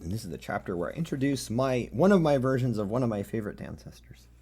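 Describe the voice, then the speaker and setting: A man in his late thirties chatting casually and haltingly with livestream viewers, in a self-deprecating way. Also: big pitch swings, darkish tone, slightly rough. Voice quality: deep. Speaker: streamer reading own fan fiction.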